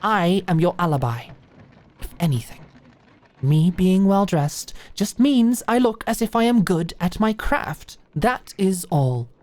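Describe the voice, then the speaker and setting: Woman acting haughty Lord Damien, slow and precise. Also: lowish voice, expressive, darkish tone. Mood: haughty, defensive. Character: pompous, prickly aristocratic doctor, Lord Damien. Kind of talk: medieval fantasy audio roleplay acting.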